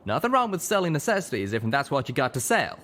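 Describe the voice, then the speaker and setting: A man's voice: on the high side, sing-song, fast, a bit bright, pitched above the performer's own voice. A man voicing a plain-spoken, loyal cowboy pegasus stallion in an audio drama. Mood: hushed urgency.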